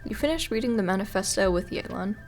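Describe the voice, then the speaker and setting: A woman's voice: medium pitch, lively intonation, fast talker. A woman giving casual commentary as she streams a game playthrough. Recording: loud game music behind.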